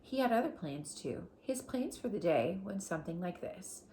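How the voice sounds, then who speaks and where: A woman reading a children's storybook aloud as a teacher, deliberate and explanatory as she addresses young listeners. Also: fairly low pitch, expressive, warm tone, slight background noise, poor audio.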